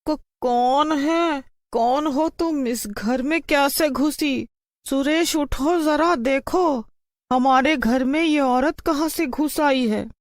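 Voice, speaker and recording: A woman's voice: high voice, takes it slowly, no echo, clean studio sound. A woman voicing a bossy, scheming wife with a haughty, mean manner in a cartoon story. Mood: frightened. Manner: commanding, mocking.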